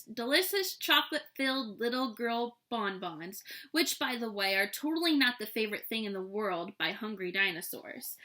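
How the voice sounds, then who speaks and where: Bright-voiced, expressive woman storyteller. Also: fairly high pitch. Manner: expressive, playful, tongue-in-cheek. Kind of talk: children's storybook read-aloud.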